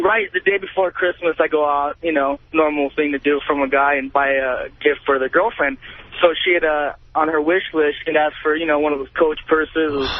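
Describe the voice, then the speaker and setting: Young dude calling in, slightly high voice, tinny phone with a little noise, casual n kinda sheepish. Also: male voice. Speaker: phone-in caller. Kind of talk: casual chat. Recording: tinny, noisy phone line on radio.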